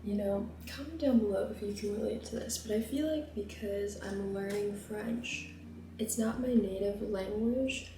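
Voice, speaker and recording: A woman's voice: medium pitch, measured pace, noisy background. A young woman vlogging casually while she cooks, chatty and rambling, with plenty of fillers. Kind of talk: casual chat while cooking. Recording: roomy kitchen, mic at a distance.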